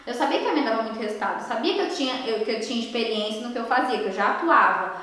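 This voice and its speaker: A young woman, telling her story at an online conference in an animated way, often checking in with the audience. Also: on the high side, rapid-fire. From Ceará, Brazil. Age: 20s. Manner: animated storytelling, frequent tag questions to the audience. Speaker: beauty therapist speaker at an online conference. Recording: very echoey room.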